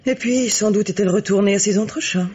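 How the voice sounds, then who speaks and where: An actress playing a cynical, haughty bourgeois woman in a French radio mystery, speaking in a measured, articulate, coolly disdainful way. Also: mid-range voice, a little mellow, husky, close to an everyday voice. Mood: ironic. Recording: dull vintage radio recording.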